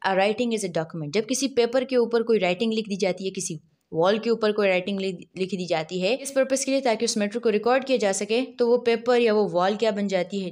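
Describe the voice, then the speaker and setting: A woman's voice: medium pitch, darkish tone. Woman lecturer quizzing her listeners. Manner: asks and answers her own questions; reads legal text slowly, then explains it. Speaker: law lecturer. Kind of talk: recorded law lecture.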